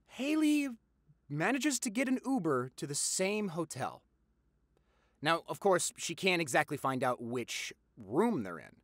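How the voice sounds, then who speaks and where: A man playing a popular boyfriend eagerly telling gossip to his partner in a conversational audio roleplay, with dramatic pauses and repeats for emphasis. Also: a little high, a bit bright, dry recording, clean studio sound.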